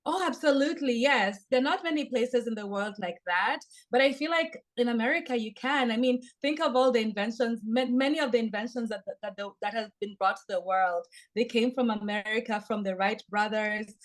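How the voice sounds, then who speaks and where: A woman's voice: a little high, brisk, hardly any pauses. A woman with a Kenyan accent, a podcast guest giving earnest, upbeat interview answers.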